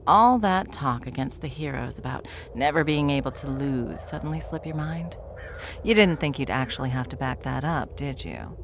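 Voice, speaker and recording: A woman playing a smug, world-weary veteran witch in a fantasy audio roleplay, dry, condescending and unhurried. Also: extremely low pitch, animated, a little hiss or hum. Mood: mocking, amused.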